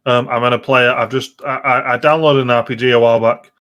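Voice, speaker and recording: A man's voice: medium pitch, fairly even intonation, fast, a bit bright, dull audio. A man co-hosting a gaming podcast, chatting casually with friends. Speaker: podcast co-host. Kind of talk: casual chat among gamers.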